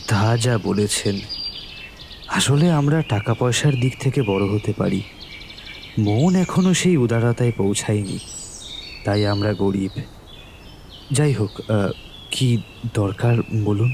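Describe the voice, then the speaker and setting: A man playing an older, well-off gentleman in an audio drama, courteous yet defensive and disdainful, speaking deliberately. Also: lowish voice, noisy background.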